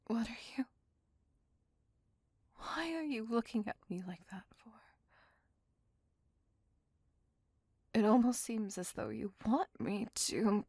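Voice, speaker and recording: A woman voicing a lovesick, possessive vampire lady in an ASMR roleplay, courtly and formal, in a breathy whisper. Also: fairly high pitch, animated, measured pace, darkish tone, dry recording, exaggerated voice. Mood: apologetic, restrained, then tormented, adoring. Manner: formal, old-fashioned, courtly wording. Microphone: close microphone.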